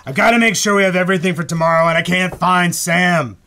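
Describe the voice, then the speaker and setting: A man playing a fretful, hurried studio boss in a live old-time radio drama, stammering and repeating himself. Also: high-pitched, bright, forceful tone. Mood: flustered.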